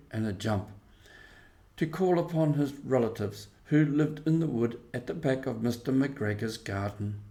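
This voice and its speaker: A man's voice: mid-range voice, warm tone. Man reading a children's story. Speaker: storybook reader. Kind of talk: scripted children's story narration.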